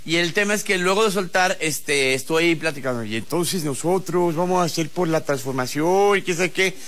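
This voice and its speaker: Mexican male radio host, quick teasing banter, bright tone. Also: a little high. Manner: playful, teasing, laughs a lot. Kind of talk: joking talk-radio banter.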